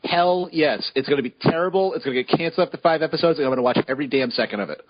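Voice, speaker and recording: A man co-hosting a podcast, chatting casually and excitably with frequent stammered restarts. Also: on the high side, racing, dry recording, highs cut off. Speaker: podcast co-host. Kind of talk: casual chat.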